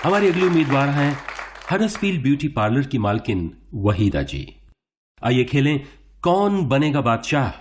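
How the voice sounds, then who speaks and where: Showy male actor as a quiz show host, animated. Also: medium pitch, normal speed, a crowd in the background, noisy background, lightly acted. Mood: upbeat. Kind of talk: radio quiz show acting in Urdu. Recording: music under the voice. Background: music.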